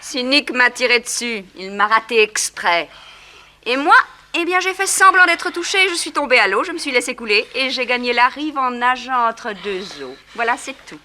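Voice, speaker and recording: A high-voiced, plucky adventuress. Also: female voice, normal speed. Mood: breezy, amused. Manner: lively, theatrical. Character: spirited, plucky female detective's accomplice. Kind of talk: 1960s French radio crime serial acting.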